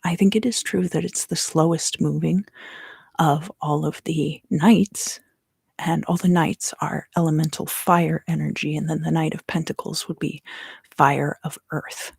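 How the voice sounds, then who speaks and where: A woman speaks in a low, husky hush with some creak, often slipping into a whisper. She gives an unhurried ASMR tarot reading in short, explanatory phrases. The sound is dry and intimate, right up on the microphone. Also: fairly even intonation, no room sound. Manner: soft-spoken, often dropping into whispers. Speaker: tarot reader and ASMR host. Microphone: close microphone.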